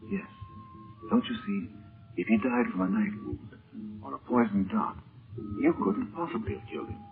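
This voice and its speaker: Steady, protective adventurer hero, lowish voice, deliberate urgency, muffled old radio drama sound. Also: male voice, creaky, soft background music. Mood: hushed, scheming. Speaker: radio serial actor. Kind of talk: 1930s radio serial acting. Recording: narrow, muffled 1930s radio recording.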